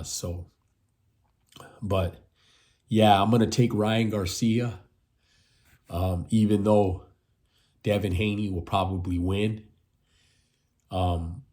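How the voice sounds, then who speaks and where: A man's voice: low-pitched, warm tone. A man in his fifties giving rambling casual commentary, repeating himself and ending lines with "man". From United States. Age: 50s. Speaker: boxing channel host.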